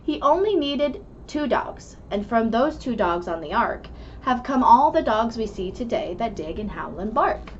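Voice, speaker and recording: A woman reads a rhyming storybook to children in a fairly high voice. Her delivery is very animated and measured, with lots of melodic movement. The recording is a little dull, with faint noise behind her. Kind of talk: expressive read-aloud of a rhyming children's book.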